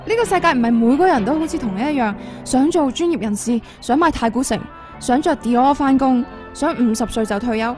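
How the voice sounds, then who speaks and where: An actress playing a defiant, idealistic young band member in a radio drama, with a Hong Kong accent. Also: female voice, sits high, expressive, fairly quick, a little mellow, soft background music.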